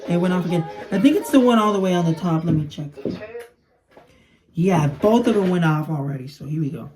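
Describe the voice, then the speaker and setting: A man's voice: a little high, lively intonation, fairly quick, darkish tone, faint music behind. A young man who speaks Spanish natively, chatting in a casual, rambling way as he demonstrates something to camera. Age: young adult. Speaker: toy video creator. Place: small room indoors at home. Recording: clear background noise and clicking.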